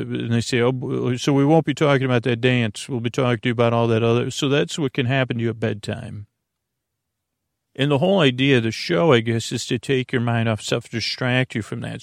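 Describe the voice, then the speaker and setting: A man hosting a sleep podcast, chatting in a meandering, halting, digressive monologue with a gravelly, creaky voice that often trails off mid-sentence. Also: mid-range voice, dry recording, clean studio sound. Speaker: podcast host.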